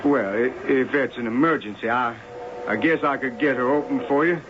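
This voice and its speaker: A man's voice: mid-range voice, normal speed, close to an everyday voice. Man voicing a polite, flustered train attendant, plain speech, stammers, old radio play, noisy muffled sound. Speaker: train waiter and porter. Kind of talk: 1970s radio drama acting. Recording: dull, hissy old radio broadcast.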